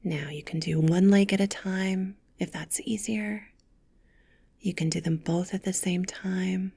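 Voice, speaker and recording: A woman leading a guided meditation with soft, gentle, soothing instructions, breathy and often close to a whisper. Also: lowish voice, fairly even intonation, a little slow.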